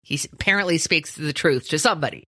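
Low, bright, dry-voiced woman host. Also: fast talker, no room sound. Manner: dry, wry quips. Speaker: podcast co-host. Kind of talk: casual chat, interviewing.

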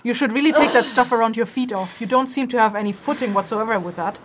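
A woman's voice: a little high, fast, background noise, natural voice. A woman playing a stern, sturdy, short-tempered female furred wanderer in a fantasy audio drama, with a dry, scolding, commanding manner. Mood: exasperated.

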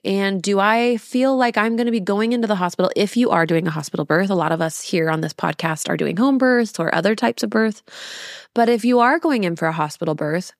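A woman in her thirties hosting a podcast in a casual, confiding way, using emphatic rhetorical questions and drawn-out words. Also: medium pitch, no room sound, studio quality. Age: 30s. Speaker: podcast host.

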